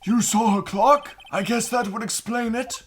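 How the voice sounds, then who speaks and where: A man's voice: extremely high pitch, fairly even intonation, a little hiss or hum. Man doing a pompous, distant-sounding elderly judge. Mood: solemn. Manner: formal, pompous, measured. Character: elderly male judge, stern, deliberate, dignified. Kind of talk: video game fan dub. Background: game music.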